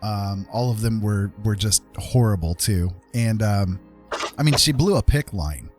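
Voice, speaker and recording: A man talking casually in a relaxed back-and-forth conversation. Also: on the low side, a little slow, music in the background. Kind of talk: casual chat.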